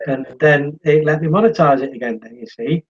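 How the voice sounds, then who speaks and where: An English man hosts a live-stream chat, his voice slightly high for a man and starting to give out. The sound is dull and lo-fi, with the top end cut off. He talks in a chatty, easygoing way. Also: a little fast, husky. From England. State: losing his voice. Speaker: live-stream host and interviewer.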